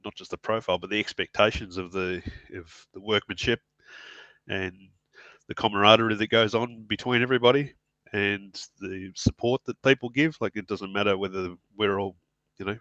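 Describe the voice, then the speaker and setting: A man in his forties with a New Zealand accent, chatting as a guest on a video call. He talks in a relaxed, halting way with plenty of fillers. His low voice comes through a headset mic, dry and close. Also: dry recording, muffled recording. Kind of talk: casual video-call interview.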